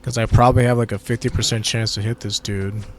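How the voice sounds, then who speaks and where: A man's voice: mid-range voice, little pitch movement, fast, soft background music. A young adult man with a tired voice, giving laid-back, slangy gameplay commentary and swearing at mishaps. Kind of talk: casual let's-play commentary.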